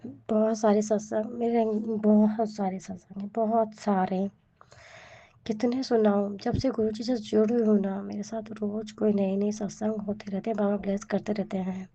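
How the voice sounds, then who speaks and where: A woman with a hoarse, cold-roughened voice, earnestly sharing a devotional testimony and repeating her thanks. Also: mid-range voice, little pitch movement, moderate pace, warm tone, lo-fi sound.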